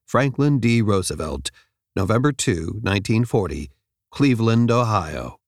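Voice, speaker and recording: A man reads a nonfiction audiobook in a measured, clearly enunciated way, close to the microphone. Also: fairly low pitch, animated, no echo. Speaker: audiobook narrator. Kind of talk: scripted nonfiction audiobook narration. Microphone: close studio microphone.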